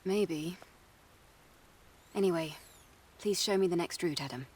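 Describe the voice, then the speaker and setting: A woman's voice: fairly low pitch, unhurried, a little mellow, slight background noise, close to an everyday voice. An actress playing a composed, matter-of-fact female soldier bound to her mission, in video game cutscene dialogue. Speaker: game character. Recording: game audio, slightly distant, music behind.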